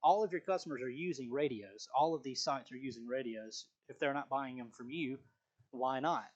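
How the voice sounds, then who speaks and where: A man's voice: medium pitch, normal speed, highs cut off. Man giving a casual sales training. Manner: casual, conversational, with frequent fillers and restarts. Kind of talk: live virtual sales training.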